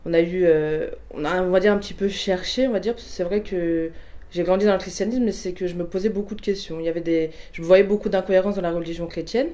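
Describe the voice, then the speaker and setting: A woman in her twenties giving a personal testimony in an interview, talking with many fillers, pauses and restarts. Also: on the low side, fairly even intonation, dark tone. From Portuguese family. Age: 20s.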